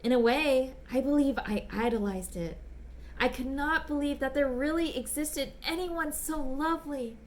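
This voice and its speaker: A woman voicing a smitten, bashful gentleman writing polite, apologetic, ardent love letters in a dramatic reading. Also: high voice, moderate pace, slight background noise, close to an everyday voice. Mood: adoring, shy. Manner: polite, apologetic, ardent, old-fashioned phrasing. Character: smitten, bashful, gushing gentleman letter writer back from China.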